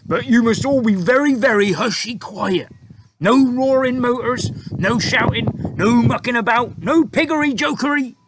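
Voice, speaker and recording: Man doing a gentle storybook giant, higher and odd-toned, jumbled grammar. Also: moderate pace, background noise. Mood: cautioning. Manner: mangled grammar, whimsical made-up words. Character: big friendly giant, odd-speaking and kind.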